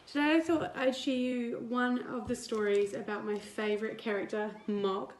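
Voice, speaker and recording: A woman, a teacher reading a picture book aloud to young children in an expressive, deliberate way. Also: a little high. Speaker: primary school teacher. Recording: roomy indoor echo.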